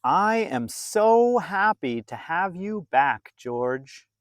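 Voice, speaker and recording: Gentle, slow-spoken storybook man, as a narrator plays him. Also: medium pitch, sing-song, no room sound. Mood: relieved, caring. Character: kindly, relieved grown man, storybook guardian.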